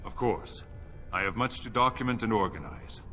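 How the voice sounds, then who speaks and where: An actor playing a principled, stubborn but humble historian in a fantasy game, speaking formally and earnestly. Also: male voice, lowish voice, lively intonation, moderate pace, bright, forceful tone. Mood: grateful. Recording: music behind the voice.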